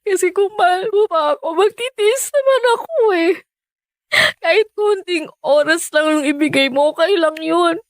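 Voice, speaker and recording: A woman's voice: very high voice, normal speed, no echo. A woman playing a lovelorn, stubborn young mistress in a Tagalog radio drama, speaking in an insistent, pleading way.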